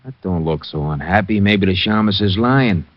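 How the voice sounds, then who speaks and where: A man's voice: low voice, lively intonation, normal speed, dry recording. An actor playing a cold, threatening gangster who talks in tough-guy slang. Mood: menacing. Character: menacing male gangster with a gun. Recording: dull old radio recording.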